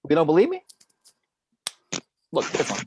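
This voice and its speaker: A man's voice: on the high side, wildly swooping pitch, normal speed, background noise. A man playing a cynical, cocky angel of death in a film script table read, arguing in a slangy, opinionated way. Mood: argumentative.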